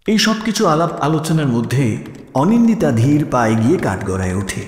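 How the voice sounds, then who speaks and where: A man's voice: on the high side, sing-song, brisk. A man narrating a scripted suspense story as the narrator of an audio drama. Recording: echoey, long reverb.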